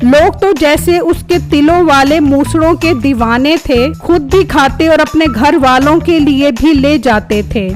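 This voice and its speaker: A woman's voice: high-pitched, unhurried, few pauses, over music. A woman reading scripted storybook narration for a cartoon moral tale.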